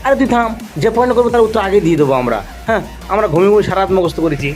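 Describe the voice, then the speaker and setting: Boastful high-pitched cartoon schoolboy played by a man. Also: expressive, racing, darkish tone, faint music behind. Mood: cheeky, boastful. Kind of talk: amateur cartoon comedy dubbing.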